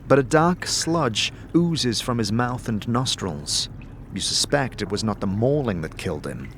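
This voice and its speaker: A man's voice: lowish voice, a bit bright. A man delivering dramatic scripted narration as the game master of a fantasy story. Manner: descriptive second-person storytelling. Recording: clear background noise, ambience.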